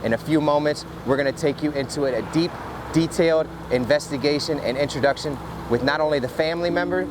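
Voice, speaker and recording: A man with a fairly high voice presents an investigation as its host. His phrasing is deliberate and emphatic. He is recorded outdoors by a road, with clear background noise. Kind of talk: presenter piece to camera. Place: outdoors at a gas station. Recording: noisy outdoor roadside audio.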